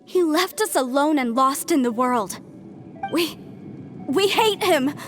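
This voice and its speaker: A woman's voice: high voice, expressive, slow pace. A woman voicing a young, zealous devotee in a video game, talking in an earnest, emotional and insistent way. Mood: bitter. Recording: game audio with faint music.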